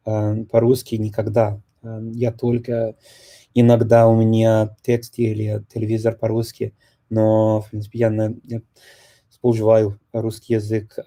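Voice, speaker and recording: Halting, dark-voiced man. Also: on the low side. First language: English. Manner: halting, often pausing to search for words. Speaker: host of a discussion on multilingual events. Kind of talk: casual live group chat.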